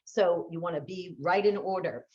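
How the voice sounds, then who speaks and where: A woman's voice: fairly low pitch, moderate pace, a little mellow, lo-fi sound. A woman coaching in a conversational, instructional way, stressing key words by repeating them and asking rhetorical questions. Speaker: voice-over coach. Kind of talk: instructional talk with tips.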